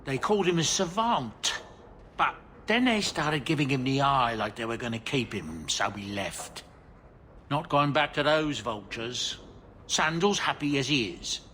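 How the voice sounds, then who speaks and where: A man's voice: mid-range voice, lively intonation, unhurried, pushed and bright, slight background noise. A man playing a cheerful older dwarf merchant and doting father in a video game, chatty and folksy. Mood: matter-of-fact.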